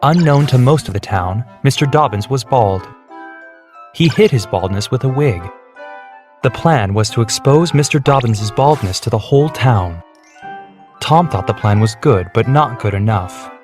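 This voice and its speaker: A man's voice: mid-range voice, expressive, moderate pace, darkish tone, soft background music. A man narrating a scripted story for children.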